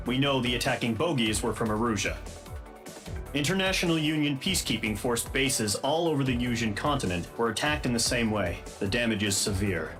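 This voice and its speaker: A man's voice: medium pitch, lightly acted. A man playing a sober, authoritative military officer giving a scripted briefing in a video game. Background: music.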